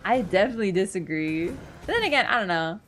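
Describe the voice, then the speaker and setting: A woman's voice: medium pitch, expressive, fairly quick, a bit bright, faint music behind. A woman streamer chatting casually with her chat while she plays a game, laughing easily and answering questions. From Poland. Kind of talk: casual gaming livestream chat.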